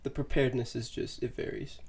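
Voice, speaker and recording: A young man and new father in a casual podcast chat, sounding nasal and sniffly from a sinus infection, reflective and soft-spoken. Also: mid-range voice, flat delivery, brisk, slightly rough. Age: young adult. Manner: reflective, quiet, trails off with sighs.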